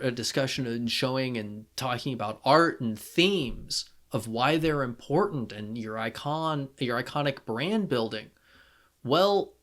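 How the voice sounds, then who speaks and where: A man with a slightly high voice hosts a casual podcast discussion. He takes his time, speaking slowly and emphatically, often restarting a phrase before landing on his point. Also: a bit bright. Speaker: podcast host.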